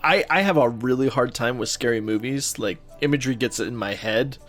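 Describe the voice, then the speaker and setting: A man's voice: mid-range voice, a little fast, fairly bright tone. A man co-hosting a podcast, chatting casually in an informal, easygoing manner. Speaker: podcast co-host. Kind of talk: casual chat. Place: home office. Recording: home office recording, music underneath. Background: underlying background music.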